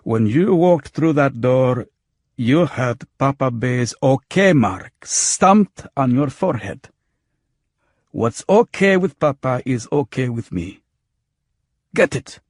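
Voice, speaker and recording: Man acting a pushy, husky corrupt cop, pitched up. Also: lively intonation, slow, a little mellow, no room sound, clean studio sound. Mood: amiable, impressed. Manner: haggling, theatrical. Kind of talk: acted audiobook dialogue.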